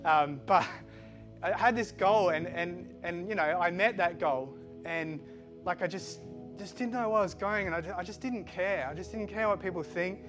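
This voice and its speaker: A middle-aged man born in Switzerland and raised in Australia, with a mixed Australian accent, giving a halting personal testimony full of fillers and restarts. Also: high voice. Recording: music playing behind the voice.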